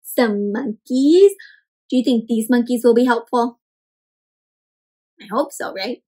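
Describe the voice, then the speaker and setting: A woman storyteller reading a picture book aloud to young children, animated and expressive, putting questions to them and explaining words. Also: on the high side, slow pace, slightly rough. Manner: dramatic gasps and exclamations.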